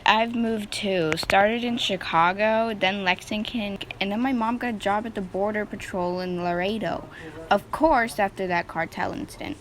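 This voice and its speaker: A woman's voice: medium pitch, moderate pace, a bit bright, close to an everyday voice. A woman as a friendly girl, faintly noisy recording. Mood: friendly, chatty. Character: friendly young girl, well-travelled newcomer in town. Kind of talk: amateur audio drama acting. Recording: faint noise and clicks.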